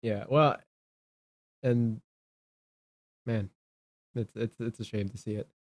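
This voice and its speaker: A man co-hosting a casual political chat, speaking in a halting way and repeating his words. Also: on the low side, fairly even intonation, no room sound. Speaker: talk show co-host.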